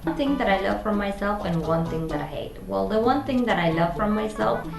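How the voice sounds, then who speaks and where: A woman in her twenties from Argentina with an Argentine Spanish accent, chatting casually as a vlog host in a halting way, searching for words. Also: mid-range voice, lively intonation, vocal fry. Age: 20s. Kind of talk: casual chat to camera. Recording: roomy echo, guitar music behind. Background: guitar music.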